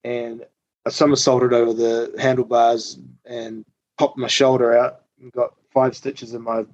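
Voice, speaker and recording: A man, a musician guest telling tour stories in casual interview chat, relaxed and full of ums and the odd swear. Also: mid-range voice, unhurried, dry recording. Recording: slightly dull dry recording.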